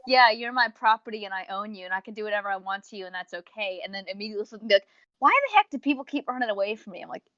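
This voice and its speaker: A woman's voice: mid-range voice, rapid-fire, fairly bright tone, no room sound, dull audio. A woman co-hosting a casual review podcast, explaining her opinions emphatically. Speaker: podcast co-host reviewing audio series.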